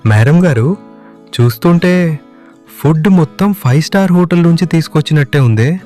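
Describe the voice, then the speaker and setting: A man's voice: on the high side, lively intonation, normal speed, dark tone, soft background music, lightly acted. A man playing a jovial, food-loving young buddy in a cartoon story. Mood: eager.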